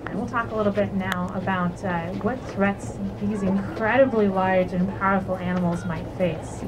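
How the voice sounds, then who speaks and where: A woman's voice: medium pitch, steady tone, normal speed. A woman narrating a whale watching tour, chatty and playful, asking the passengers questions. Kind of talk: guided tour commentary over a PA. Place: outdoors on a moving boat at sea. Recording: loud boat engine and wind noise.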